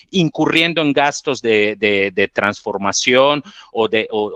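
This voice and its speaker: A man's voice: mid-range voice, pushed and bright, dry recording. A man with a Mexican accent explaining technical material in a professional webinar, in a didactic way. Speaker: webinar presenter, transfer pricing specialist.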